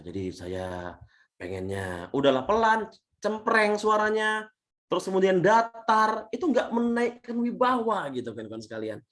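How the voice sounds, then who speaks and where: A man from Indonesia, a leadership coach giving a webinar talk over a video call, swinging between loud and soft and often addressing the audience as friends. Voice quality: says his natural voice is thin and shrill.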